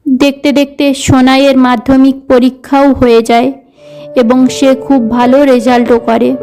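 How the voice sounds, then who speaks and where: A woman's voice: high voice, level tone, unhurried, warm tone, faint music behind. A woman narrating a scripted cartoon story in a storybook style.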